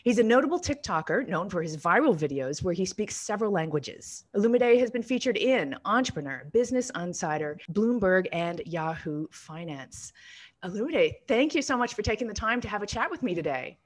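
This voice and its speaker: A woman hosting a casual interview over a video call, chatty and quick to laugh at herself. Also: mid-range voice, very animated. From Canada. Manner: chatty, laughs easily, self-deprecating. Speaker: host. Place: home in Canada.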